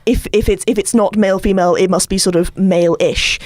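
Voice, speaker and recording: A woman's voice: medium pitch, fairly even intonation, brisk, a little mellow, no echo. A woman in a casual panel discussion, speaking hesitantly and often repeating words as she works out her point. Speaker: podcast panellist.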